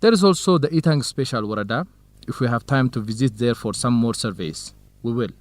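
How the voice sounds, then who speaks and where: An actor with an Ethiopian accent playing an organized, courteous, gently grumbling male researcher in an educational radio drama, speaking in a measured, explanatory way. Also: medium pitch, expressive, dry recording, close to an everyday voice. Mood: helpful, practical.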